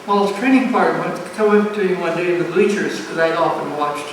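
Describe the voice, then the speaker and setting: Woman in her nineties, halting delivery, repeated phrases, personal reminiscence, very reverberant community hall, distant microphone. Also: lowish voice. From United States. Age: 90s. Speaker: speaker at a family art show. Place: community center event room. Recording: echoey, noisy room, distant microphone.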